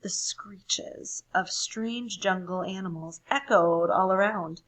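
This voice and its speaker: Slow, husky woman reading a storybook. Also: medium pitch. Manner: expressive, deliberate storytelling. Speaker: storybook reader. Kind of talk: read-aloud narration for children, with questions to listeners.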